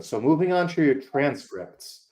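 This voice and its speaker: A man conducting a mock PA school interview, asking questions in a measured, formal way. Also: fairly high pitch, lively intonation, darkish tone.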